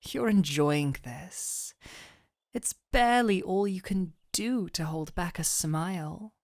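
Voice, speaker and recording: A gentle fantasy doctor voiced by a woman in an intimate audio roleplay. She speaks very slowly in a slightly low, soft voice that slips toward breathy near-whispers. Her manner is affectionate and teasing, and the sound is close and dry. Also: dry recording. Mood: playful teasing.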